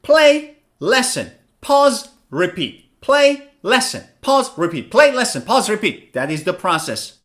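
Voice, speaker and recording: A man's voice: high voice, big pitch swings, slow, hardly any pauses, a bit bright. A man teaching an English pronunciation lesson, asking questions and answering them himself.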